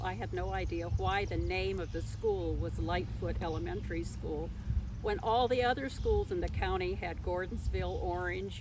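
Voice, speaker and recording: An older woman telling her own story as an interview guest, speaking in an unscripted, conversational way. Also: fairly low pitch. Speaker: interview guest, former teacher. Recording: faint traffic noise behind.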